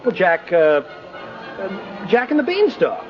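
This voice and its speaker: A genial man with a lively, swooping delivery on a dull vintage radio recording. He plays a courteous bachelor neighbor, polite and a little hesitant, in 1950s radio drama style. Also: sits high, slow pace, soft background music, heavily acted. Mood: playful, friendly. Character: friendly, courteous bachelor neighbor charming mother and child.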